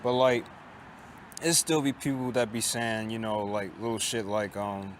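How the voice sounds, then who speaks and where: Man in his late 20s, halting and downcast. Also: on the low side, steady tone, a little hiss or hum. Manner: halting and repetitive, with frequent restarts and swearing; resigned, downcast tone. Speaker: vlogger. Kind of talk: casual confessional monologue. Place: outdoors on a college campus.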